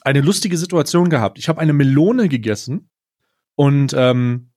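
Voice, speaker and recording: A man's voice: fairly high pitch, lively intonation, normal speed, a little mellow. A man telling anecdotes casually as a podcast co-host, blunt and slangy, dropping in bits of English.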